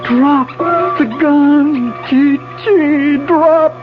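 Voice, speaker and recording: Dying guy narrating his own story, high voice, intense and deliberate, 70s radio play with music behind. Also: steady tone, full character voice. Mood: intense, urgent. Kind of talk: 1970s radio drama first-person narration. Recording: dull 1970s radio broadcast, music behind.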